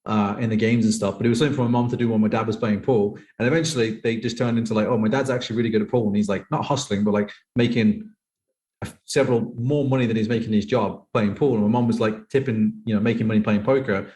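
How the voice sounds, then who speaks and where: A man's voice: fairly low pitch, fairly even intonation, fast, a little mellow. A thirty-year-old British man chatting casually as an interview guest, telling personal stories in a rambling way with lots of "like". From United Kingdom. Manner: rambling, peppered with "like". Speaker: podcast guest, professional poker player.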